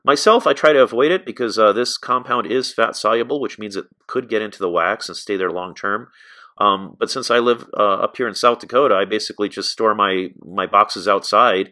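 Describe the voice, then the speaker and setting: American male instructor, middle-aged, slightly low pitch, crisp bright tone, informal explanatory delivery. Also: fairly quick. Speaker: beekeeping instructor. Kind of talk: informal narrated slide lesson.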